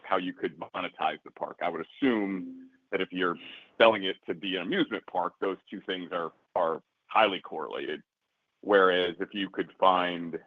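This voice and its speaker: Low-voiced male analyst on phone line. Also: dry recording. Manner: deliberate, polite, pausing as he builds questions. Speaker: financial analyst asking questions. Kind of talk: polite, unscripted earnings call questioning. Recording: dry, narrow phone line.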